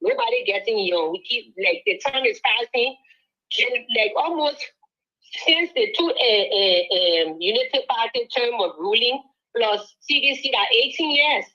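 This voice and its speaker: A woman's voice: very animated, measured pace, pushed and bright. A Liberian woman calling in to a talk show, arguing politics with rising passion. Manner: impassioned, rising pitch when pressing points. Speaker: caller. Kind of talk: call-in political commentary. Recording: phone line audio.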